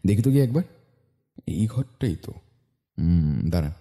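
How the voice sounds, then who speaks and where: Man playing a low-voiced, animated detective. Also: moderate pace. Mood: teasing threat. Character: shrewd, confident male detective. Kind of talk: audio story acting.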